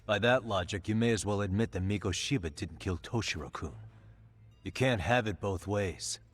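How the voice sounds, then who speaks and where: A calm, determined detective voiced by a man questions a prisoner with steady, pressing insistence. His voice sits deep and low, and a faint music bed runs under the game scene. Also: normal speed, close to an everyday voice. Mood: stern. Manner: pressing, steady interrogating delivery. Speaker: detective in cutscene. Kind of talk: game voice acting, dub.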